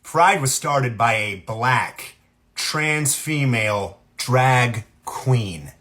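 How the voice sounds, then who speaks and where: A man's voice: medium pitch, expressive, very slow, sharp tone. A genderqueer man, a content creator, talks to camera in a sassy, emphatic, lecturing way. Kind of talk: casual talk to camera.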